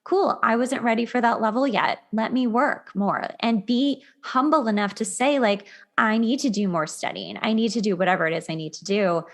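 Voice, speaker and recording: A woman's voice: on the high side, moderate pace, few pauses. A woman hosting a podcast in a casual, conversational style, affirming and emphatic, repeating points for emphasis. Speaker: podcast host.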